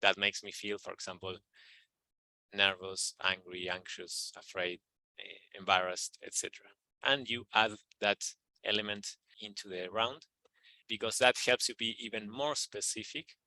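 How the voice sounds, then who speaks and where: A man walks viewers through a tapping technique, calm and repetitive, with a low but strikingly bright tone. His voice is recorded close and dry, with no room sound. He talks in a casual, explanatory way with small fillers. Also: a little slow, no echo, rough recording. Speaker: EFT practitioner teaching tapping. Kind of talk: instructional video walkthrough.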